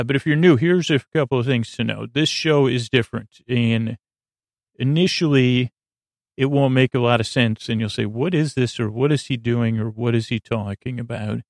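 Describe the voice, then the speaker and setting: Creaky, meandering male sleep-podcast host. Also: medium pitch, fairly even intonation, normal speed, no echo, studio quality. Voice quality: creaky, soothing drawl. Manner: meandering, self-interrupting, wry. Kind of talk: rambling late-night monologue.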